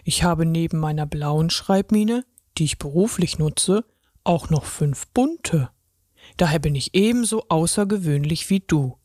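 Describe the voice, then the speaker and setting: A woman reading a children's story, voicing a gentle male pen who works as a ticket inspector, with a deep voice and an encouraging manner. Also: animated, unhurried, dry recording, clean studio sound. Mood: reassuring.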